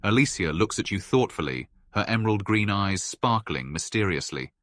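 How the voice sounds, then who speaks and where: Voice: low-pitched, lively intonation, normal speed, a bit bright. A narrator reading scripted, descriptive narration for a fantasy game.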